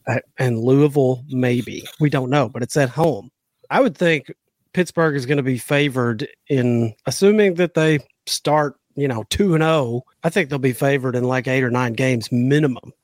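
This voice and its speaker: Podcast co-host, male, mid pitch, dry close mic, easygoing and profane, slightly sick. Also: moderate pace, no room sound. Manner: laid-back, swears casually, nostalgic rambling. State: under the weather. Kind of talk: casual sports betting chat.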